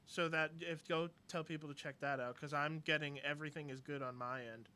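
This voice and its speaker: A man's voice: on the high side, fairly even intonation, a little fast, bright, forceful tone, smooth. A man speaking casually and off the cuff, giving technical help.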